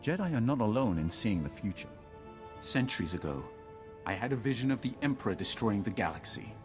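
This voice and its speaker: An actor playing a grave, proud Sith lord in a video game, making measured, ominous declarations. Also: male voice, lowish voice, big pitch swings. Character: grave Sith lord defector, proud, coldly calculating seer. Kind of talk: dramatic video game acting. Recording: loud music behind the voice.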